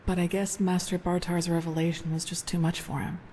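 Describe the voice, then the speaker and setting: An actress playing an earnest, thoughtful female novice of a holy order in fantasy game dialogue, measured and reflective. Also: fairly low pitch, little pitch movement, dark tone, a little hiss or hum, natural voice. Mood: somber.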